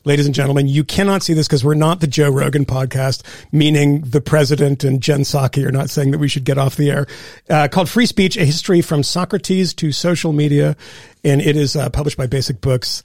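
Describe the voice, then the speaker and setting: Man, podcast host, higher pitch, gravelly edge, dry sound, teasing, rambling. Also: fairly even intonation, fairly quick, a little mellow, no room sound. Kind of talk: casual chat.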